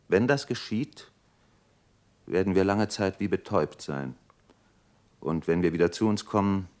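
A reflective, idealistic doctor in a radio drama. His fairly low voice is measured and solemn, like an orator's, with an undertone of melancholy. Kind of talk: German radio play acting.

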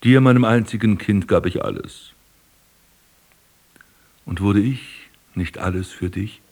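A proud aging prince with a low, husky voice. He declaims solemnly and poetically, a possessive master and father figure in a literary radio play. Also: a little slow, a little mellow. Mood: proud, self-righteous. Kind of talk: literary German radio drama acting.

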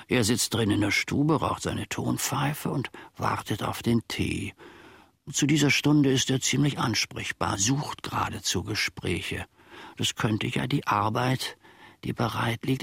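Long-suffering old manservant, creaky voice, dry close sound, wry, confiding, radio drama. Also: male voice, mid-range voice, fairly bright tone, no echo, exaggerated voice. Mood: sly, narrating.

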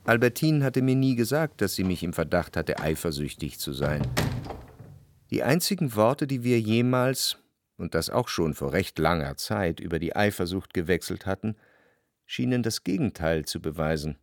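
An actor playing an introspective, jealous lover who narrates his memories in a measured, literary radio drama style. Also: deep voice, sing-song, background noise. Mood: reflective, brooding. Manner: reflective, measured literary delivery in long sentences.

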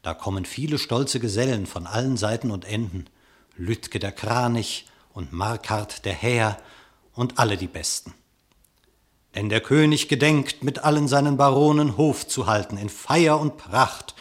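Male narrator for verse radio drama: medium pitch, husky, measured and declamatory.

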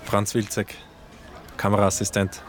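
A man's voice: lowish voice, monotone, unhurried, noisy background, lightly acted. An actor playing a young, chatty male camera assistant from Vienna, speaking with a Viennese accent and dry irony. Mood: sardonic. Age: young adult. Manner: dry, ironic remarks. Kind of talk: radio drama acting.

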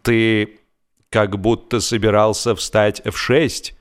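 A man voicing a grumbling, argumentative Victorian gentleman who retorts tartly in a comic audiobook. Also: lowish voice, little pitch movement, slow, clear, resonant tone. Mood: reproachful.

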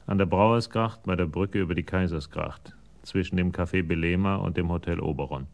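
An actor narrating a police radio drama in a measured, literary storytelling manner. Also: male voice, deep voice, creaky. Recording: music under the voice.

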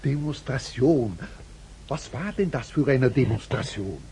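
A man's voice: mid-range voice, animated, measured pace, mellow, slight background noise. An actor playing a scornful, domineering German party man in a radio play, hectoring and insistent. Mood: suspicious.

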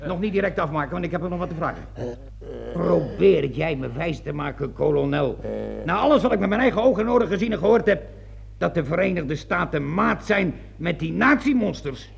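An actor in a radio play voicing a stubborn, muddled army sergeant, blunt and colloquial, with a raspy voice. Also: male voice, sits high, animated, fairly quick, exaggerated voice. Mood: indignant. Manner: blunt, colloquial, repeating himself in confusion. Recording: dull old radio recording.

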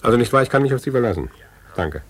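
A man's voice: medium pitch, lively intonation, racing, noisy background, natural voice. An actor playing a ruthless, imperious businessman with a commanding, formal manner, in a 1950s German radio drama. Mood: coldly commanding. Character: ruthless, imperious businessman ordering a smear campaign.

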